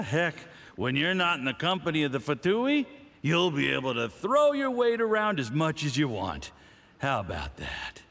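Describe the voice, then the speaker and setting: An actor playing a middle-aged, condescending scheming diplomat in a game, with a patronizing drawl. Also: male voice, medium pitch, a bit bright, full character voice. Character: smug scheming foreign diplomat man, condescending. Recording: game audio with long reverb.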